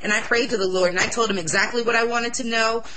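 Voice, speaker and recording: A young woman with a loud voice, sharing an earnest, excitable personal religious testimony in an interview. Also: fairly high pitch, quick pace, rough recording.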